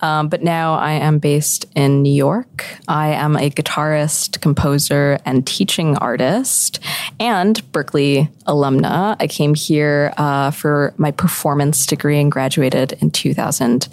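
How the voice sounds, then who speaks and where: A woman's voice: deep voice, fairly even intonation, measured pace, darkish tone. A woman from Chicago in her thirties, hosting a friendly podcast interview as guest interviewer. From Chicago, USA.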